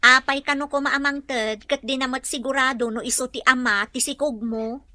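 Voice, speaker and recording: A woman's voice: sits high, fairly even intonation, moderate pace, sharp tone. A woman acting a frank, concerned friend who questions and admonishes in a radio drama. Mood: admonishing.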